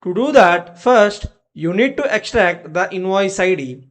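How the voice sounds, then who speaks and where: A tutorial presenter narrating step-by-step software instructions in short, clipped commands. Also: mid-range voice, expressive, a little slow.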